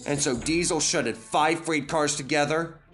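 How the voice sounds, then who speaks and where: A man's voice: a little high, a little slow, a bit bright, faint music behind. A man narrating a scripted children's story as a dramatic storyteller.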